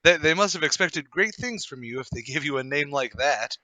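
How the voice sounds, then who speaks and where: A man's voice: a little high, expressive, fairly quick, sharp tone, no echo. A man playing a hearty, sociable war veteran with a put-on accent and a chummy manner in a radio-play table read.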